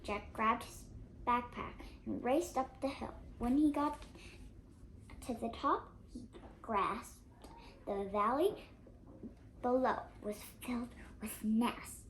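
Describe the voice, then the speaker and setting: An eight-year-old girl reading a storybook aloud, carefully and a little haltingly, with expression. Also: fairly high pitch. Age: child of 8. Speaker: young reader. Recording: noisy home recording.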